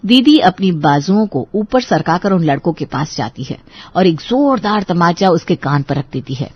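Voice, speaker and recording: A woman's voice: lowish voice, lively intonation, normal speed, breathy edge, dry recording, dull audio. A woman narrating a scripted story expressively.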